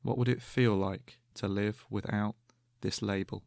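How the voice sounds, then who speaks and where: A man leads a guided meditation in a low, even voice with a noticeable creak at the edges. He speaks gently and calmly, placing each instruction carefully and letting pauses open up between phrases. The sound is dry and close, with very little room around it. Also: dry recording. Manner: calm, gentle, measured with long pauses.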